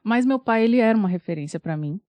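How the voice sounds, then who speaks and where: A woman in her thirties from Bahia, a singer and vocal coach, chatting as a podcast guest with frequent laughter and lively storytelling. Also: fairly high pitch, quick pace, dry recording. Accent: Bahian Portuguese. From Bahia, Brazil. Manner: laughs often, animated storytelling. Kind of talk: casual interview chat.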